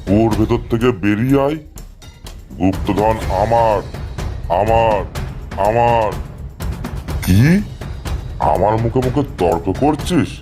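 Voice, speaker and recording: A man's voice: fairly low pitch, slow pace, long pauses. A man voicing a greedy, bullying fox in a children's cartoon, blustering and exclaiming. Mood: angry, greedy. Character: greedy bullying male fox, panicky when threatened. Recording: music behind the voice.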